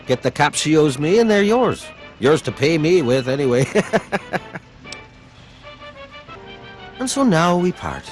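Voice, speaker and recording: Lively, scheming saloon boss. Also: male voice, on the high side, moderate pace, faint music behind. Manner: sly, patronizing, theatrical. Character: smooth, scheming saloon owner, middle-aged or older man. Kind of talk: video game dialogue.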